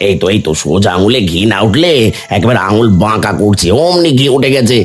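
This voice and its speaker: A man's voice: lowish voice, sing-song, brisk, slightly rough. A man voicing a greedy, rude village food seller in a cartoon, mocking and threatening. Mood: scornful.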